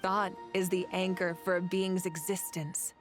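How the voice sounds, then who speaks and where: A woman playing a serene, cryptic sage in a video game, speaking in measured, solemn aphorisms. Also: fairly low pitch, level tone, soft background music, lightly acted. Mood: solemn, wise.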